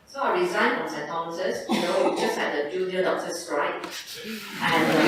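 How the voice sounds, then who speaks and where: An older woman from Singapore, a surgeon giving an informal lecture to medical students and checking in with "right?" and "okay?". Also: lowish voice. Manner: conversational, tags points with "right?" and "okay?". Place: large echoey lecture hall. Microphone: distant room mic. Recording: echoey hall, distant mic, noisy.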